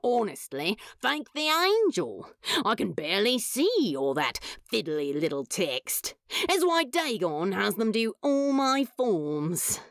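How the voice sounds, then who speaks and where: A woman's voice: mid-range voice, big pitch swings, slow, no echo, studio quality. A woman voicing a cocky, dramatic demon in a fan fiction audiobook, drawling and grumbling with theatrical grievance. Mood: offhand. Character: cocky dramatic demon, sullen yet showy, theatrically aggrieved.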